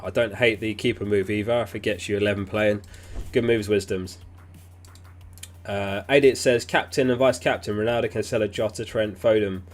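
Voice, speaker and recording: A man with a somewhat low voice hosts a live stream in a loose, chatty way. He sounds sniffly and keeps clearing his throat. He thinks aloud with easy fillers as he answers viewers. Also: fairly even intonation, moderate pace. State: sniffly, getting over an illness. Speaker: fantasy football livestream host. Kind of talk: casual livestream chat reading viewer messages.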